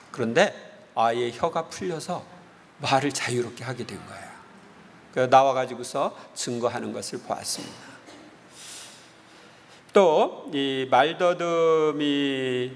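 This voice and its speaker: High-voiced priest preaching in echoing church. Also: male voice, a little hiss or hum. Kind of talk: homily with anecdotes. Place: large church interior. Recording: reverberant church hall with long echo.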